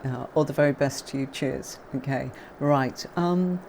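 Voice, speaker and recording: A woman's voice: rumbling low, unhurried, vocal fry, a little mellow, a little hiss or hum. An English woman from Yorkshire hosting a tarot livestream, chatting with viewers and teaching card meanings, with many fillers and a neutral accent. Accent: neutral southern English, faint Yorkshire. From Yorkshire, England.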